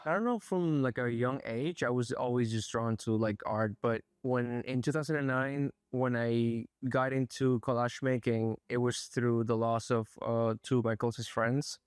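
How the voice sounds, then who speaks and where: A young man from Spain, an artist being interviewed, speaking in a soft, thoughtful way with many pauses and fillers. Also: medium pitch, fairly even intonation, clean tone, no echo, clean studio sound. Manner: soft-spoken, thoughtful, hesitant, frequent fillers. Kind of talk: casual interview. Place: art gallery.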